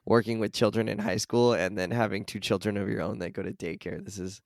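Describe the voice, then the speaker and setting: A hoarse, gravelly-voiced man in his forties, hosting a casual podcast chat and laughing often. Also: lowish voice, steady tone, fairly quick, no room sound, clean studio sound. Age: 40s. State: hoarse from coughing. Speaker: podcast host.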